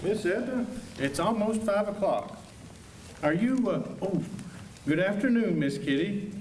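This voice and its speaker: A bashful, folksy frontier helper, played by a man in a western radio play. He is courteous and halting, with a slightly high male voice. The sound is echoey and a little far from the mic. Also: lively intonation, a little mellow. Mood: shy, polite. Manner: hesitant, bashful, folksy drawn-out politeness. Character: bashful, polite, folksy Old West marshal's helper. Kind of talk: western radio drama acting. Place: high school auditorium stage. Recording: echoey auditorium, distant mic, faint noise.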